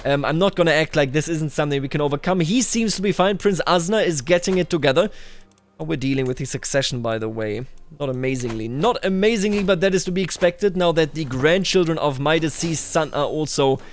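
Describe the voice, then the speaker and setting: A man's voice: fairly high pitch, expressive, fairly quick, slight background noise. A man giving casual roleplay commentary on a strategy game, thinking aloud and talking straight to the game's characters. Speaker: gaming video host.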